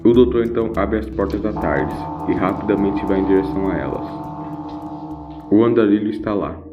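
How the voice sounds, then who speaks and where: Male narrator for audio fiction, scripted storytelling, lower register, roomy lo-fi recording. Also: normal speed. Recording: boxy, echoey lo-fi with music. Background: music.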